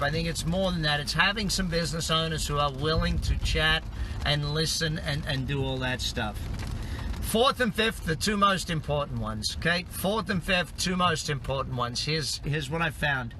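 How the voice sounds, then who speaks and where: A man's voice: fairly high pitch, lively intonation, moderate pace. A middle-aged man from Australia, a business coach giving upbeat motivational tips in numbered points while driving. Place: in a car on the road. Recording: car and road noise behind.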